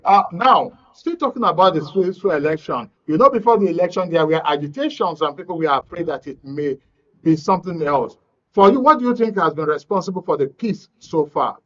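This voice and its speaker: Male news host, Nigerian accent, fairly high voice, live interview delivery, slightly muffled sound. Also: expressive, a little fast, a bit bright. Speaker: programme host.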